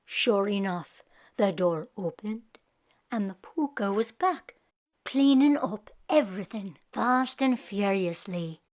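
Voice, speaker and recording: A woman narrating a children's storybook aloud as a storyteller, in an expressive scripted read-aloud style. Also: mid-range voice, slow pace.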